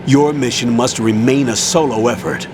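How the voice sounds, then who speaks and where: Commanding colonel played by a man, firm and businesslike mid-pitched male voice, game briefing over clear background noise. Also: fairly even intonation, normal speed, natural voice. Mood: stern, firm. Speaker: commanding officer. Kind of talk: dramatic video game voice acting.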